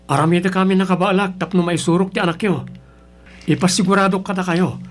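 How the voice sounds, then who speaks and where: A man's voice: sits high, steady tone, fast talker, close to an everyday voice. An actor playing an earnest, apologetic man in his thirties, a dutiful son, with a pleading, hesitant manner in an Ilocano radio drama. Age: 30s. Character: earnest, apologetic 35-year-old aspiring teacher, dutiful son.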